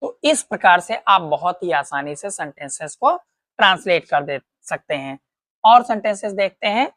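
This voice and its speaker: A woman's voice: lowish voice, expressive, normal speed, a bit bright, dry recording, clean studio sound. A woman teaching a patient, step-by-step grammar lesson, asking questions and answering them herself. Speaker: English teacher.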